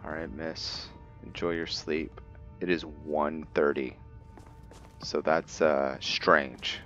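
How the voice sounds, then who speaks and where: Low male voice, casual game commentary, thinking aloud, dull lo-fi mic, music behind. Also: fairly even intonation, slow pace, a bit bright. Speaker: gaming host.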